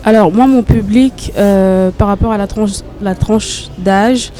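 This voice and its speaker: Young woman in her twenties, social media comedian, animated and mellow. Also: medium pitch, slow pace, slight background noise.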